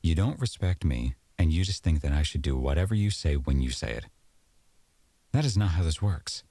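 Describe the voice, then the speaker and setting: A man's voice: a bass voice, expressive, moderate pace, warm tone, dry recording, full character voice. A man playing an aggrieved, sarcastic boyfriend arguing at home in an audio roleplay, accusatory and exasperated. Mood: scornful, exasperated. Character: aggrieved, sarcastic boyfriend in a heated domestic argument.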